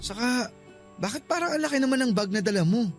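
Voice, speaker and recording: A man's voice: very high voice, animated, fast talker, soft background music. A man playing an earnest young boyfriend in a radio drama, pleading and puzzled. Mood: concerned. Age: young adult.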